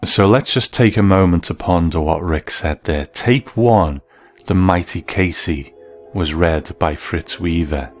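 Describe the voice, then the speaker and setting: A man hosting and narrating a documentary in a measured, explanatory way. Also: deep voice, faint music behind. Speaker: host.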